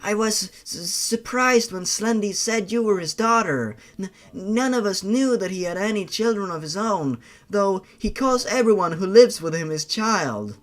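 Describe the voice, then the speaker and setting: A man's voice: high-pitched, lively intonation, measured pace, few pauses, a bit bright, voice pushed up. A man voicing a twitchy, easygoing young male killer who talks casually with a stammer in an audio story. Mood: surprised, casual.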